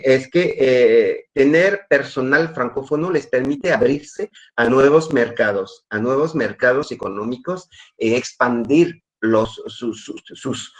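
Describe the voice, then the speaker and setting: Man, French accent, webinar speaker, mid-range voice, halting delivery, repeats words, video call sound. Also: medium pitch. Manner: hesitant, many repeated words. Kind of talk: webinar talk.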